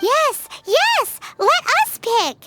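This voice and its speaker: Anime game fairy sidekick: tiny girlish squeak, cheeky, bouncy intonation, music behind. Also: very animated, very slow, heavily acted. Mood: eager. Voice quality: squeaky, childlike. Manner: refers to self in third person, exclamatory. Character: tiny childlike female fairy companion, chirpy and cheeky.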